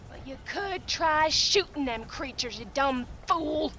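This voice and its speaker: A bitter frontier wife in a Western game. Her voice is piercingly high and sharp, full of scolding scorn, with faint noise behind it. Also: expressive, measured pace, full character voice. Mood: sarcastic. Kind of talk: comic video game cutscene acting.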